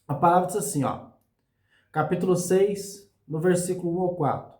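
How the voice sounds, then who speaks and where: Hoarse preacher man in an echoey room. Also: high-pitched, a little mellow. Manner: earnest, slow preaching with frequent "meus irmãos". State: hoarse from a cold. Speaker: pastor. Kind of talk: sermon for an online channel.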